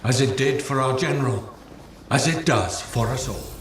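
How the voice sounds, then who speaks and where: A man's voice: medium pitch, moderate pace, background noise, natural voice. A man playing a solemn ancient guardian who sets knightly trials, speaking in a grave, ceremonial manner in a video game. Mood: solemn, challenging. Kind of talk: dramatic scripted game narration. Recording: echoey, distant voice over loud ambience.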